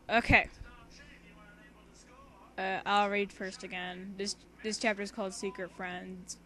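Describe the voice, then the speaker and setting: A young woman reads a story aloud in a casual, homemade way. She has a medium-pitched voice and an excitable, giggly manner, and she drifts easily between the text and chatty asides. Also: a little hiss or hum. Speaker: amateur fan fiction reader and co-host.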